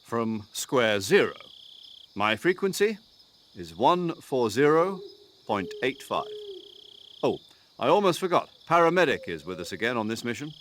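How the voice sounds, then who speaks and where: A chatty, earnest military commander briefs his agent over the radio in a game cutscene. His voice swings widely in pitch as he explains things at length. Faint night-time ambience sits behind him. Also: very animated, measured pace, fairly bright tone, slight background noise. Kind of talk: video game cutscene acting.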